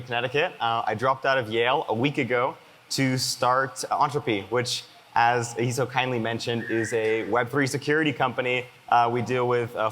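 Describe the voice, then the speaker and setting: A man in his twenties from the Boston area moderating a conference panel, halting with many fillers. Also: mid-range voice, a bit bright. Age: 20s. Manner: hesitant, many fillers and restarts. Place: conference hall. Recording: long hall reverb.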